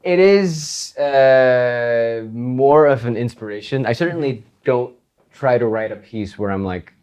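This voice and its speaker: A man from Iceland, a guitarist and composer, chatting in an interview in a relaxed, rambling way with frequent laughs. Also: mid-range voice, unhurried, clear, resonant tone. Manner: relaxed, rambling, laughs often, many fillers. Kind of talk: casual interview chat.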